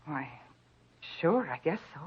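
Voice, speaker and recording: An actress playing a frail, nervous, forgetful American housewife, hesitant and meek, in an old-time radio drama. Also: female voice, deep voice, expressive, unhurried, slightly rough, heavily acted. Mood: obliging. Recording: dull, noisy old radio recording.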